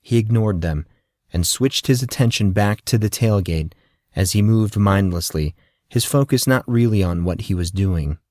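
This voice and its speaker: A man's voice: on the low side, normal speed, a little mellow, no echo. A man narrating scripted fiction as an audiobook storyteller.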